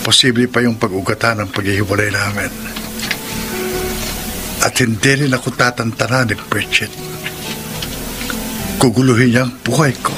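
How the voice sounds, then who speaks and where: Troubled Filipino husband played by an actor, music behind. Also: male voice, mid-range voice, steady tone, normal speed, long pauses, lightly acted. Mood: worried brooding. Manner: dramatic, exasperated delivery. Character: troubled, sardonic married man cornered by debt. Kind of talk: Filipino radio drama acting.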